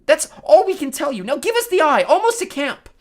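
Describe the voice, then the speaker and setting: An ancient, ill-tempered storybook hag shrieks far above an ordinary talking pitch. The delivery swoops wildly as she bickers and wails in a frantic, quarrelsome temper. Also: wildly swooping pitch, fast talker, fairly bright tone, full character voice. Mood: desperate. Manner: screeching, bickering, wailing. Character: shrieking, quarrelsome ancient witch sisters, frantic cab-driving hags.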